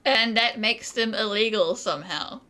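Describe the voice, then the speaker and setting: Woman playing a sharp, bright, defiant debater. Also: mid-range voice, moderate pace, close to an everyday voice. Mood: sarcastic, challenging. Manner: pointed, assertive. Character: blunt, defiant woman challenging an academic in debate. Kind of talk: video game voice acting.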